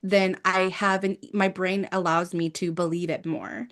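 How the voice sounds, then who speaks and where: A woman's voice: fairly low pitch, level tone, unhurried, a bit bright. A woman from South Korea and the United States speaking as a guest in a reflective interview, earnestly explaining her views. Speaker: guest, Persephone devotee.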